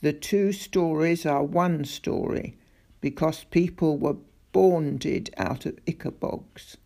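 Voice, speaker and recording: A woman voicing a huge gentle monster that talks in a slow, deep, solemn voice. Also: gravelly, exaggerated voice.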